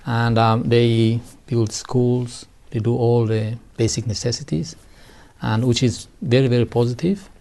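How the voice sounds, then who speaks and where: Guy, gravelly dark voice, lots of ums and pauses, being interviewed. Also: mid-range voice, fairly even intonation. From East Timor. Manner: halting, many ums, non-native grammar. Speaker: community guest. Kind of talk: interview answers.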